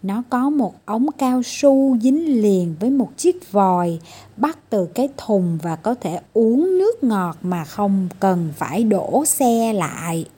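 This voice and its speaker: A woman's voice: mid-range voice, animated, takes it slowly, mellow, breathy edge. A woman storyteller reading a children's fairy tale as scripted narration.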